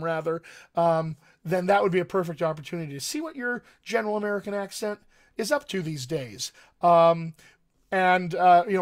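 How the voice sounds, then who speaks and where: A man talking as a dialect coach to actors on a casual live stream, chatty and encouraging, with lots of ums and uhs. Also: fairly high pitch. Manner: chatty, encouraging, with many fillers. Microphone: webcam or computer mic on a live stream.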